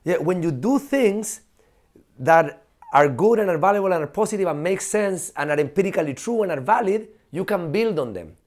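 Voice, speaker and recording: Animated man with a high voice. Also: normal speed. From Chile. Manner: animated, laughing, frequent fillers and swearing. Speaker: guest, professor. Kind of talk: casual podcast conversation.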